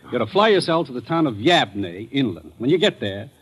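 Husky, businesslike radio commissioner. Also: male voice, medium pitch, sing-song, fairly quick, a bit bright, low-quality recording. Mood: serious, urgent. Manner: authoritative, businesslike. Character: brisk, businesslike male commissioner briefing an agent. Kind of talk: 1950s radio drama acting.

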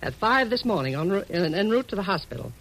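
A woman's voice: mid-range voice, sing-song, quick pace, a little hiss or hum, low-quality recording. An actress playing an imperious older American businesswoman, curt and commanding, in 1940s radio drama style. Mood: brusque, businesslike. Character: bossy, brusque older female publishing tycoon. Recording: old radio drama recording.

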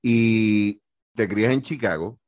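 Low-voiced host. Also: male voice, slow pace, a little mellow, no echo. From Puerto Rico. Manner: thoughtful, long framing questions. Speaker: podcast host. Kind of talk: interview.

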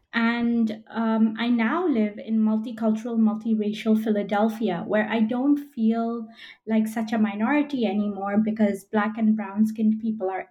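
Woman from Belgium, fairly high voice, academic podcast guest, articulate, measured, reflective. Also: a little mellow. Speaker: podcast guest, literature professor. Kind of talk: reflective personal account in an interview.

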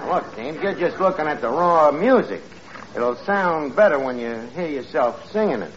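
A man's voice: fairly high pitch, lively intonation, normal speed. An actor in a 1940s radio comedy playing a brash, uneducated bar manager who mangles grammar and big words as he wisecracks. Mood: cajoling, cocky. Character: brash, uneducated wise-guy bar manager with grand pretensions. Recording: old muffled noisy radio recording, loud audience.

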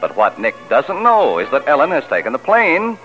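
A man's voice: medium pitch, huge pitch swings, moderate pace. A man announcing and narrating a 1940s radio program in a scripted style. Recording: dull vintage radio recording. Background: music.

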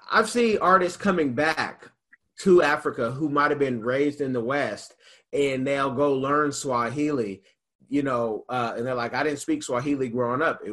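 A man's voice: medium pitch, a bit bright. A man with an American accent hosting a casual interview, asking long, rambling questions in a conversational way. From United States. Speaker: interview host.

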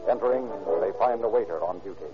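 A man giving measured, formal scripted narration for a radio crime drama. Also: on the low side. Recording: muffled old radio recording with music.

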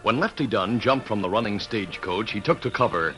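A man narrates in old-time radio style. His voice is low with lively, sweeping intonation and a dramatic announcer delivery. Faint music is present. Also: a little fast.

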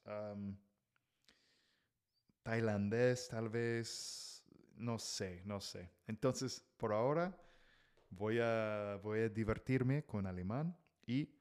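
A man's voice: fairly low pitch, darkish tone, smooth. A male podcast host talking casually to language learners, with lots of fillers, restarts and Mexican slang. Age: adult, married. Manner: chatty, full of fillers and self-corrections. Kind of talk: casual solo podcast talk.

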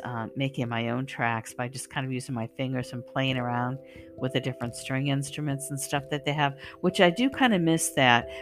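A woman singer and channel host, hoarse and losing her voice, talking casually and rambling with many fillers and restarts. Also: rumbling low. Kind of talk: casual spoken monologue to listeners.

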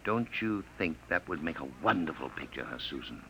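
An actor playing a smooth-talking, coaxing pursuer from the future who poses as a filmmaker, in 1950s radio drama style. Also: male voice, very deep voice, sing-song, fairly quick, bright, forceful tone, heavily acted. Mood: sly. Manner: glib, coaxing, commanding. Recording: noisy old 1950s radio recording.

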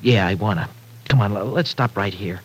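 Casting: male actor as young American streetwise lug, smitten and halting, slangy, vintage radio drama with light noise. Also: on the low side, close to an everyday voice. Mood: earnest confession. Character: lovestruck young tough guy, rough, bashful, slangy. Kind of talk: old-time American radio drama acting. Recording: noisy vintage radio recording.

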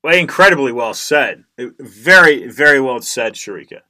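Bright, halting male host. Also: mid-range voice, expressive. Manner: halting, many restarts and fillers. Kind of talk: interview hosting.

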